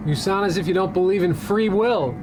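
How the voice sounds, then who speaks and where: A man's voice: fairly quick, lightly acted. An actor playing a bewildered, mild-mannered American man abducted by aliens, hesitant and curious, in a radio drama. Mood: puzzled, curious. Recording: loud music and rumble behind.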